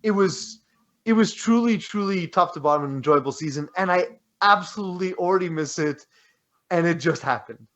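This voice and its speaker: A man's voice: high-pitched, a little fast, a bit bright. A man co-hosting a sports podcast, talking casually and informally.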